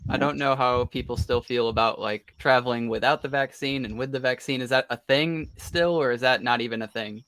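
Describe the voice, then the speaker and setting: An American man in casual interview chat, telling stories in a relaxed way. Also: medium pitch, brisk, few pauses. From United States. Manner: relaxed, chatty storytelling.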